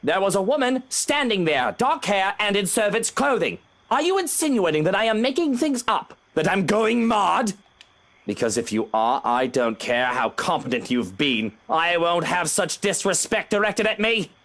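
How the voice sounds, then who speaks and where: Proud, jittery gentleman for a horror game, played by a man; high pitch, very animated, formal and demanding. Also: normal speed, heavily acted. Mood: agitated, indignant. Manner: indignant, formal, emphatic speech. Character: anxious, proud, irritable gentleman, demanding and paranoid.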